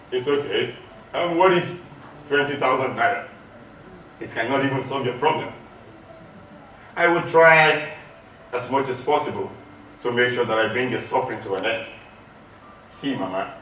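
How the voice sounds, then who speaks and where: A man on stage playing a generous, important gentleman who speaks with emphatic reassurance. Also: medium pitch, moderate pace, long pauses, natural voice. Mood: reassuring. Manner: emphatic, reassuring, projected delivery. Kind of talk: theatrical one-man stage acting. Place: hotel hall stage. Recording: distant echoey stage recording, faint noise.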